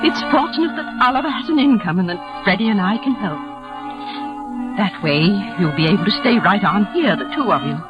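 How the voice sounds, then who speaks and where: A woman's voice: mid-range voice, expressive, a little fast, highs cut off, close to an everyday voice. A woman playing an anguished, pitying middle-aged mother in theatrical 1940s radio drama style. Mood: tearful pity. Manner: theatrical, emotional. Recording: vintage radio broadcast with music.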